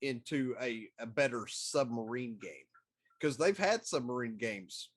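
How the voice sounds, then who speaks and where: A man's voice: mid-range voice, animated, a little slow. A middle-aged man talking casually as a talk show co-host, meandering through his opinions. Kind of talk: casual chat.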